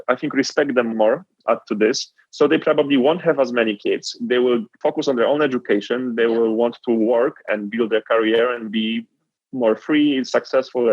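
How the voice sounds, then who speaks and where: Polish-accented man, earnest, husky. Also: mid-range voice, dry recording, lo-fi sound. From Poland. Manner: earnest, reflective, conversational. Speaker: guest entrepreneur. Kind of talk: interview storytelling.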